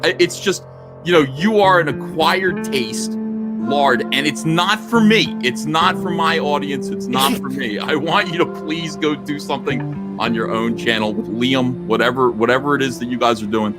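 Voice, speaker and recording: A man's voice: mid-range voice, moderate pace. A man in a heated, unscripted livestream rant as host, confrontational and sarcastic. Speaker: live stream host. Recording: music playing loudly behind.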